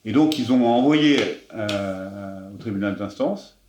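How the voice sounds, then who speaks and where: A lower-pitched man, a member of parliament, explains a case in a measured way, using lots of fillers. He grows animated when he turns indignant. The room around him is echoey. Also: a little hiss or hum.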